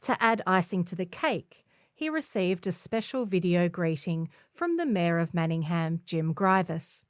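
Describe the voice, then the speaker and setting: A woman's voice: on the low side, sing-song, measured pace, no echo, studio quality. A woman reading a scripted feature article as a volunteer newspaper narrator. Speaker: volunteer reader of a community newspaper.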